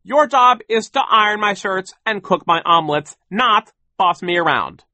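A man voicing a gruff, impatient male boss in an audiobook story, barking dismissively and emphatically. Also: sits high, animated, moderate pace, bright, forceful tone, no room sound, voice pushed up, exaggerated voice. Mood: barking, bossy.

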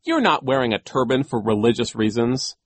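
A man's voice: on the high side, huge pitch swings, normal speed, slightly rough, no echo, pitched above the performer's own voice, exaggerated voice. A man voicing an earnest, exasperated storybook orphan boy, urgent and indignant. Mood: disgusted, pleading.

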